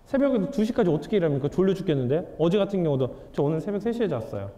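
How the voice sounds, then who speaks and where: A man's voice: sits high, sing-song, rapid-fire, dark tone. A young adult man giving a lecture, asking the audience questions in a candid, conversational way. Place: lecture hall. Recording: hall reverb.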